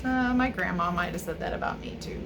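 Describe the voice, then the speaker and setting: A woman's voice: on the low side, fairly quick. A woman teacher reading a picture book aloud to children with chatty asides. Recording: clear background ambient noise.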